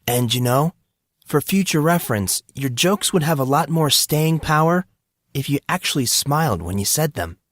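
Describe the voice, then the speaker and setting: A man's voice: on the high side, expressive, moderate pace, a bit bright, no room sound, voice pushed up. Wry teenage boy, played by a man, sarcastic and guarded, brooding fantasy-drama hero, close dry sound. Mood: sarcastic teasing.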